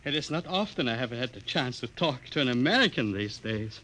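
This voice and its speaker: A man's voice: medium pitch, expressive, a little fast, bright, forceful tone, poor audio. An actor playing a kindly, absentminded older male scientist in a 1950s radio drama, rambling mildly. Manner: mild, rambling, chuckling. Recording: old radio recording with hiss.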